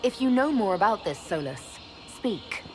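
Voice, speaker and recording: Game heroine: direct, curious, composed, plain-spoken, mid-pitched, music in the background. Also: female voice, big pitch swings, measured pace, low-quality recording. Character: composed, inquisitive young female leader of adventurers. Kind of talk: video game dialogue acting.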